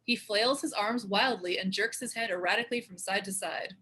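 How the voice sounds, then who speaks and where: A woman's voice: medium pitch, a little fast, bright, forceful tone, husky. A woman reading screenplay stage directions as scripted narration.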